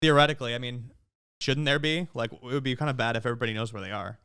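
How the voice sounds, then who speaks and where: A man talking casually as a guest on a stream, rambling in a relaxed, open-minded way. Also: medium pitch, fast, clean tone. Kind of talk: casual chat.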